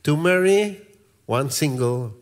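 A middle-aged man from Peru with a Peruvian Spanish accent, speaking as a guest pastor in a church interview, pausing often to search for words. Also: fairly high pitch, animated.

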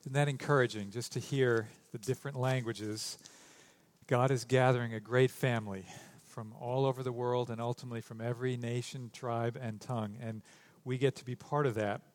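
Male pastor, mid pitch, conversational sermon delivery with restarts, church mic. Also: steady tone, moderate pace. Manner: loose, joking asides with stumbles and restarts. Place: church sanctuary. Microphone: stage microphone.

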